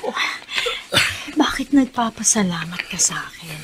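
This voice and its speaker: A woman playing a patient, forgiving wife and mother in a Filipino radio drama, gentle and pleading. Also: lowish voice, animated, a little slow, background noise. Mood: gentle concern.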